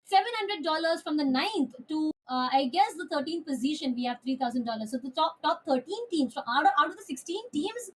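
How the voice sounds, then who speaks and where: A woman's voice: sits high, expressive, a little fast, few pauses, poor audio. A woman casting a live esports match over a headset mic, talking in a chatty way with many filler sounds. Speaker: esports caster.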